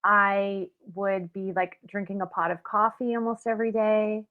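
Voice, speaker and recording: A woman's voice: medium pitch, flat delivery, moderate pace. A woman speaking candidly and self-mockingly in a casual chat, with many fillers. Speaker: guest reacting to her old video. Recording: tinny phone-like call audio.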